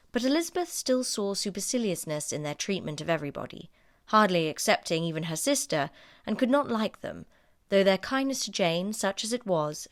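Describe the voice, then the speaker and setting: A woman reading literary narration for an audiobook in a measured, articulate way. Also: mid-range voice.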